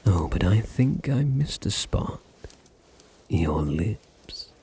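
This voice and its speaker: A man playing a deep, husky British vampire master. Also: very animated, mellow, exaggerated voice. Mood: hypnotic, seductive. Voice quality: breathy, husky, often sinking into whispers. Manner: slow, soothing, teasing, condescendingly tender. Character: dominant, possessive, seductive male vampire noble doting on his servant. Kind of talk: intimate ASMR roleplay.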